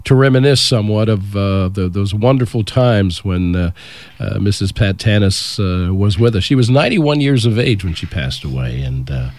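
A man hosting a radio talk show, speaking in a halting way with many fillers. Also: lowish voice, expressive, a little mellow. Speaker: radio host. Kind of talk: radio interview. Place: radio studio.

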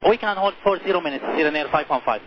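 A man's voice: fairly high pitch, quick pace. A man speaking as an airline pilot, making transmissions to air traffic control over cockpit radio. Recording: muffled, noisy aviation radio.

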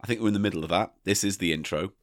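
A man's voice: sits low, racing. A man co-hosting a podcast in casual banter, teasing and needling his partner playfully. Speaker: podcast co-host.

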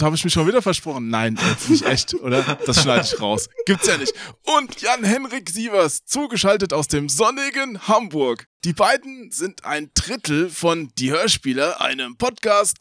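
A man's voice: sits high, fairly bright tone, dry recording, clean studio sound. A man hosting a podcast and chatting casually with guests in a jokey, teasing way. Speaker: podcast host.

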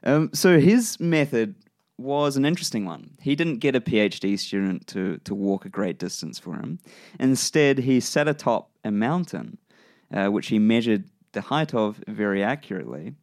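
A man's voice: lowish voice, big pitch swings, normal speed, darkish tone, dry recording. A man with a New Zealand accent co-hosting a casual science chat, explaining ideas with dry humor and frequent ums. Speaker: podcast co-host.

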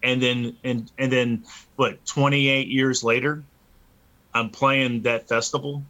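A man in his early fifties with a bright, forceful voice at a middling pitch. He talks informally and earnestly as a musician being interviewed, often hesitating as he finds his phrasing. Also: little pitch movement, takes it slowly. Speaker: interview guest, metal band musician. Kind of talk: casual interview chat.

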